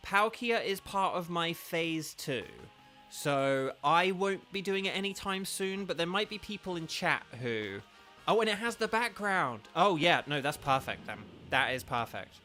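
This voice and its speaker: Young man, bright tense voice, fairly high, rambling chat, faint game music. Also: expressive, unhurried. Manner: chatty, rambling with many fillers, reads and answers chat. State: slightly croaky from eating. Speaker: streamer. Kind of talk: casual gaming livestream chat.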